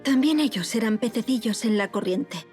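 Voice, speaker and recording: An actress playing a resolute, betrayed noblewoman, narrating her story in a measured, solemn audio drama style. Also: on the high side, animated, a little mellow, lightly acted. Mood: grave reflection. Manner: measured, solemn, literary delivery. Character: resolute historical noblewoman, betrayed, claiming her own fate. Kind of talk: scripted first-person audio drama narration. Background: music.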